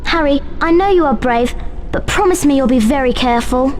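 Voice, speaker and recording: A woman's voice: high-pitched, a little fast, a little hiss or hum, natural voice. An actress playing an earnest, bookish young schoolgirl witch with a precise, urgent manner in a video game. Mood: earnest, concerned. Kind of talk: video game character acting.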